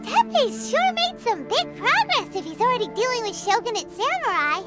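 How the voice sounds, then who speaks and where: A woman voicing a tiny, excitable, childlike fairy companion in a video game, with a squeaky voice and an exclamatory manner. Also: normal speed. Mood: impressed. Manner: exclamatory, refers to self in third person. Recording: game music behind voice.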